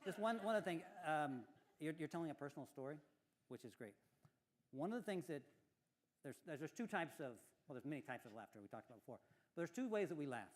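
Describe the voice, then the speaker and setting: A man's voice: fairly high pitch, very animated, fast talker. A man coaching at a conference workshop, explaining ideas with frequent restarts. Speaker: speech coach. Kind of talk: workshop feedback. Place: conference room with audience.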